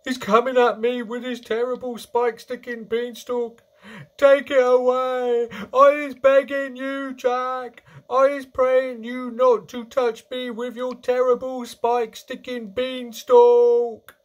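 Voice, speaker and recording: An enormous brutish giant from a storybook, gripped by terror. The voice leaps high and screeches, dragging out each begging cry at a bellow. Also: male voice, little pitch movement, slow pace. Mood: desperate pleading. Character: huge brutish male giant, terrified, pleading in a nightmare.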